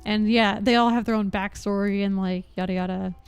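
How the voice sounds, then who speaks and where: A young woman game streamer chatting casually with her viewers in an expressive, meandering way. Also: mid-range voice, a little fast, smooth. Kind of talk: casual live-stream chat. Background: faint game music.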